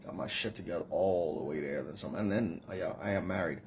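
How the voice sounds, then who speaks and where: Boastful deep-voiced Trinidadian man in his forties. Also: fairly quick, slight background noise. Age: 40s. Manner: boastful, mocking, scolding. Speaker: commenter in a stitched video. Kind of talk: casual rant to camera.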